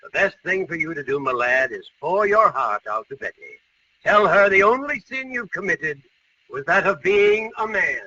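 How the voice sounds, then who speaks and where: High-pitched, fussy older uncle. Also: male voice, expressive, normal speed, sharp tone, far from the mic. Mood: advising. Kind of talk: 1940s radio comedy acting. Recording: muffled, noisy old radio recording.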